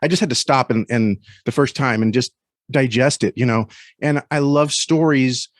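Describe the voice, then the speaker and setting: A man speaking as an interview guest in a thoughtful, conversational way, often halting as he searches for words. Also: medium pitch, no room sound, studio quality.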